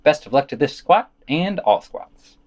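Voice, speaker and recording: Man with lively, swinging intonation. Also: fairly high pitch, a little slow. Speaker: podcast host reading news. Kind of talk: scripted news commentary with quoted readings.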